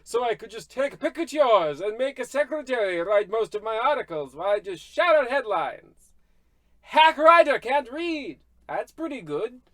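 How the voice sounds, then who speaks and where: An actor playing a brash, illiterate tabloid newspaperman with excitable patter, in old radio comedy style. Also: extremely high pitch, normal speed, dry recording, full character voice. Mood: cheerful.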